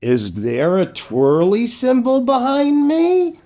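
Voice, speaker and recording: A man's voice: very high-pitched, wildly swooping pitch, slow, dark tone. An older man hosting a children's storytime, speaking playfully and with exaggerated expression to young viewers, as an entertainer.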